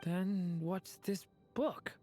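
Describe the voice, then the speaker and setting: A woman playing a gentle, polite, earnest young male dragon in a video game, speaking hesitantly. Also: lowish voice, very slow, music in the background. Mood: puzzled.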